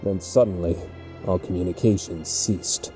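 A man's voice: low-pitched, steady tone, moderate pace, dark tone, soft background music, lightly acted. A man voicing a grim, dutiful rebel soldier in a video game fan dub, giving terse military reports. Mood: grave report.

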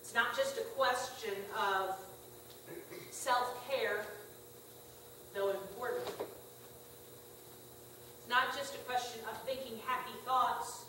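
A middle-aged woman pastor giving a conversational, gently humorous sermon in a small church. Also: on the high side, slow, pauses often, noisy background. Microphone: far room camera. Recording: echoey church from far off.

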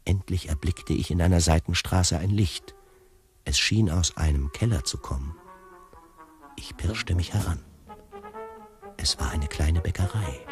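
An actor playing a lonely fugitive tiger who narrates his own story in a radio play in a measured, literary way. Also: deep voice. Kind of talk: scripted first-person radio play narration.

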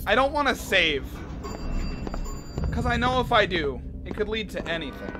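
A young man in his twenties with a hoarse, sore throat, casually commentating a game from a small bedroom, swearing often and reacting loudly. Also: high voice, sing-song, moderate pace, over music. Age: 20s. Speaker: gaming YouTuber. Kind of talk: casual let's play commentary. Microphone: desk mic, webcam in corner.

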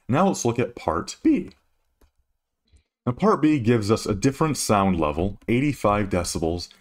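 A man working as a physics tutor, explaining a worked problem methodically, step by step. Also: fairly low pitch. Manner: methodical, instructional.

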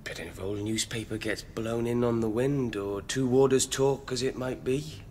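A man acting a cheeky young male convict in a radio play, ingratiating and slangy, with false humility. The voice rings in a long hall reverb, with a faint rumbling noise behind it. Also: steady tone, normal speed, darkish tone, lightly acted. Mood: eager, probing. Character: sly young male prisoner feigning piety, cheeky and ingratiating.

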